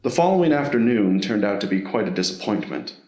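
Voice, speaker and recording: A man's voice: on the low side, very animated, fairly quick, a little mellow. A man reading scripted narration for an audio drama. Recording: roomy, echoing voice recording.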